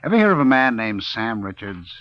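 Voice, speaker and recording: An actor playing a smooth, menacing gangster boss in an old-time radio crime drama, brusque, sardonic and sure of himself. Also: male voice, mid-range voice, very animated, a little fast, no room sound, lightly acted. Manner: brusque, sardonic, self-assured. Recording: dull old radio recording.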